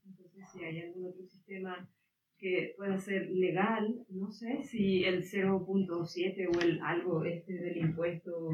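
A woman's voice: on the low side, steady tone, a little slow, a little mellow, slight background noise. A woman whose first language is Guaraní asks a long, meandering question at a public debate, searching for her words as she goes. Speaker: audience member asking questions. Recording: distant, echoey, phone-like audio.

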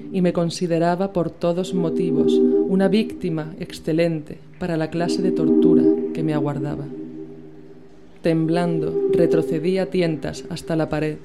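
A woman from Spain reading a literary horror story aloud in a measured, dramatic storytelling manner. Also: deep voice. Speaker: narrator. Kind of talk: scripted literary narration. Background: loud music with a singing bowl.

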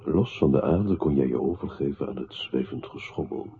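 Grave deep narrator in an old radio play, declaiming slowly, thin hissy sound. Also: male voice. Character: male narrator in a poetic choral radio play, solemn and grave. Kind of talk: literary radio drama narration. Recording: tinny, band-limited old radio-play recording with noise.

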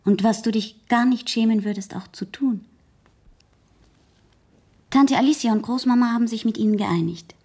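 A proud, outspoken young girl in a seventies radio drama. Her voice sits fairly high, with a breathy, husky edge, and she speaks earnestly and directly. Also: moderate pace, long pauses, dark tone. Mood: earnest, firm. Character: Gigi, outspoken, proud teenage girl in first love. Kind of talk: 1970s German radio play acting.

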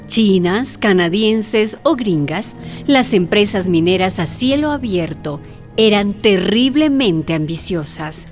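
Radio drama narrator, female, mid-range voice, scripted storytelling over clear background music. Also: mid-range voice, lively intonation, slow.

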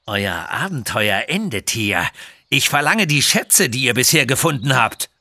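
A man performs a greedy villain lord in an audio storybook, boastful and full of menace. His voice is husky and swings widely in pitch as he gloats pompously. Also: sing-song, unhurried, pushed and bright, no echo, clean studio sound, full character voice. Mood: menacing.

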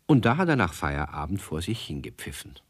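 A man's voice: deep voice, sing-song, fairly quick, slight background noise. A man playing a genial storyteller, narrating a children's radio play in a wry, conversational way.

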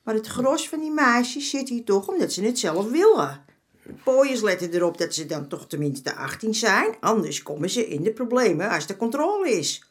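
A woman's voice: fairly high pitch, sing-song, moderate pace. An actress playing a streetwise, motherly older woman in a radio drama, talking bluntly and familiarly. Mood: confiding, indignant. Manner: familiar, blunt, calls men "jongen". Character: streetwise, motherly older woman of the red-light district, frank. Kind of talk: naturalistic Dutch radio drama acting.

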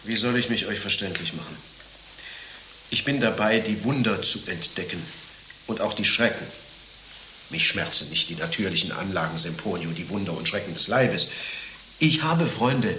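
A man's voice: lowish voice, moderate pace, sharp tone, roomy sound, slight background noise, poor audio. An actor playing a lovesick, naive middle-aged former monastic in a German radio play, declaiming his confessions with rhapsodic anguish. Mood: rapturous.

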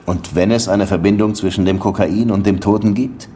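Actor as a low-voiced, sardonic weary detective. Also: male voice, steady tone, moderate pace, mellow, slight background noise, natural voice. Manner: dry, wry, grumbling. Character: weary, sardonic male Havana police detective. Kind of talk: radio drama dialogue and first-person narration.

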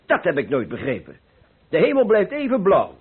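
An actor playing a cheerful, carefree older painter who philosophizes persuasively in a radio play. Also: male voice, sits high, huge pitch swings, fairly quick, breathy edge, exaggerated voice. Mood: animated, carefree. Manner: exuberant, persuasive, rhetorical. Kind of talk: Dutch radio play acting. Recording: muffled old radio play recording.

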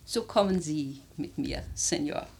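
A woman playing an aristocratic old grandmother in a German radio drama, speaking in a theatrical, measured, lyrical way, worldly and imperious. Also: low voice, lively intonation, breathy edge, exaggerated voice. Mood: impatient, scolding. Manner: theatrical, measured, lyrical, with emphatic swells and hushed phrases.

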